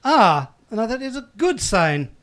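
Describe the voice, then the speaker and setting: Actor doing a pompous doctor, flustered, lively pitch. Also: a little slow. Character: bumbling, cheeky hospital doctor.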